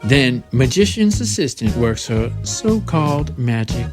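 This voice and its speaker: A man's voice: mid-range voice, very animated, measured pace, over music. A man playing a sassy, campy contortionist schemer in a comic audio drama.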